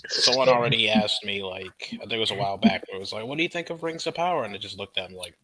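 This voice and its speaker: A man's voice: on the low side, racing. A man in casual chat with a dry, contrarian manner, over very noisy chatter.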